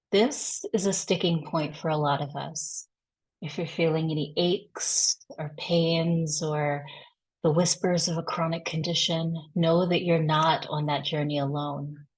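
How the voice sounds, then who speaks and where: A woman guides a body scan practice in a somewhat low voice. Her delivery is very slow and unhurried. She gives each instruction gently and with reassurance. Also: breathy edge, rough recording.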